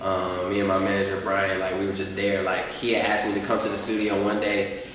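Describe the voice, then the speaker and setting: A man's voice: sits low, monotone, fairly quick. A young man, a rapper, chatting casually in an interview, rambling with lots of fillers. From Atlanta, US. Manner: laid-back, rambling with many fillers. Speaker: rapper guest. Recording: echoey room, far from mic, faint noise.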